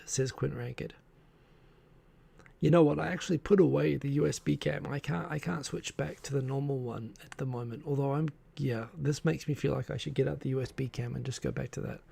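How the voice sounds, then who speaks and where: A man's voice: fairly high pitch, creaky, mellow, breathy edge, no echo. A man with an Australian accent hosting a casual live stream, chatting with viewers and joking at his own expense into a desk microphone. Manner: chatty, self-deprecating, greets viewers from chat. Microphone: desk microphone in front of him.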